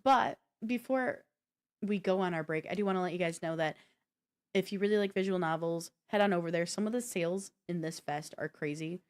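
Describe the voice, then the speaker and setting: A woman's voice: lowish voice, brisk, darkish tone. A woman hosting a gaming news show in a casual, chatty live-stream style, speaking informally and directly to viewers.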